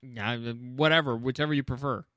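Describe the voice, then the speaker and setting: A man's voice: medium pitch, fairly even intonation, moderate pace, pushed and bright, no echo, clean studio sound. A man co-hosting a casual chat show, with a brash, argumentative, foul-mouthed way of talking. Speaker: podcast co-host. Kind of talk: casual chat, pop culture ranking banter.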